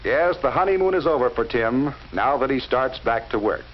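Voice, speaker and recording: A man narrating a 1940s educational film in a measured, instructive way, speaking directly to the viewer. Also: mid-range voice, lively intonation. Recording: dull lo-fi 1940s film soundtrack with faint hiss.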